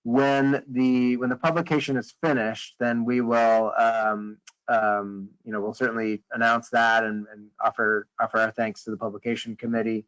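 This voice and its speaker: A man leading a conference call, briefing informally in a hesitant, halting way and often rephrasing mid-sentence. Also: mid-range voice, little pitch movement, a bit bright, smooth. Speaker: meeting leader on a conference call. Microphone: conference call line. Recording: dull, lo-fi conference call audio.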